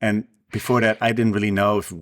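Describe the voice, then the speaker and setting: A man in his thirties talks over a webcam from a room by wooden doors. His voice sits somewhat low. He speaks in a relaxed way, with plenty of "um" and "uh" between thoughts. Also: brisk. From Netherlands. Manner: relaxed, frequent "um" and "uh" fillers. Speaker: indie app developer, co-host. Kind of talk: casual video-call chat. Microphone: video call webcam.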